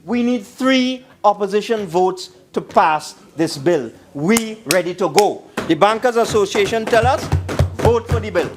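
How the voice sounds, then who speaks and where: A man's voice: high-pitched, expressive, measured pace, music in the background. A man with a Trinidadian accent giving an emphatic parliamentary speech, repeating phrases for stress. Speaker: government minister speaking in parliament.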